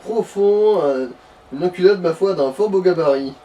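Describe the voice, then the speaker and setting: A man's voice: high voice, normal speed, dark tone. A man chatting casually as a companion commentator during a video game session. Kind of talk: casual chat over gameplay. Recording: distant mic with background noise.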